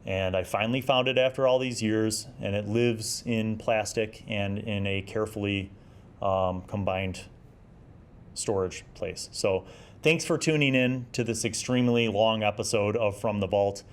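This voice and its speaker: A man's voice: on the low side, steady tone, measured pace. A middle-aged man giving casual, conversational commentary as a video host, thinking aloud in a rambling way with self-deprecating asides. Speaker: YouTube host reviewing vintage gaming magazines.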